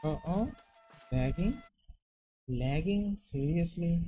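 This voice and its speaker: A man's voice: on the high side, lively intonation, slow, dark tone, faint music behind. A man with an Indian accent, a native Hindi speaker, doing casual gameplay commentary and reading dialogue aloud. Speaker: gaming streamer.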